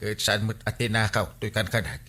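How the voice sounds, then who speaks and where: An actor playing an evasive middle-aged village captain in an Ilocano radio comedy, stammering and blustering with nervous chuckles. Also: male voice, lowish voice, little pitch movement, brisk, noisy background, close to an everyday voice.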